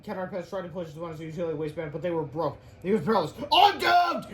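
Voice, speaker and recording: A man reads a children's book aloud as storyteller. His voice is quite high for a man, and he stumbles over some words as he goes. The recording carries faint noise. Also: steady tone, racing.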